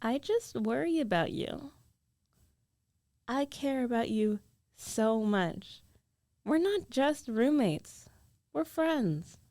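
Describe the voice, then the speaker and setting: A woman's voice: fairly high pitch, lively intonation, takes it slowly, long pauses, a little mellow, no room sound, clean studio sound. A woman acting a jealous, defensive young roommate who is secretly in love, in an emotional, conversational ASMR roleplay. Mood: worried, tender. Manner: emotional, conversational, pleading and protesting. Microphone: close studio microphone, dry.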